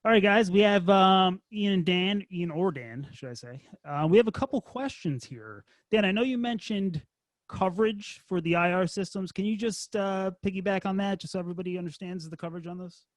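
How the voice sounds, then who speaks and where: A man's voice: sits high, a little fast, no echo. A man moderating a webinar, speaking in a casual, informal and encouraging way.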